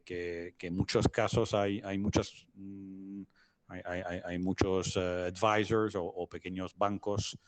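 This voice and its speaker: A middle-aged man from Italy with a low-pitched voice, recorded dry and close. He speaks Spanish as a non-native, explaining things conversationally as a guest, often halting and repeating words as he searches for the next one. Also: clean tone, no room sound. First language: not Spanish. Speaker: interview guest, business advisor.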